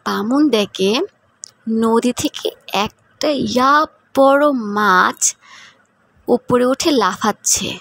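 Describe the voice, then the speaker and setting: A woman's voice: on the high side, fairly bright tone, no room sound. A woman narrator telling a scripted cartoon story, with noise behind her. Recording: noticeable background noise.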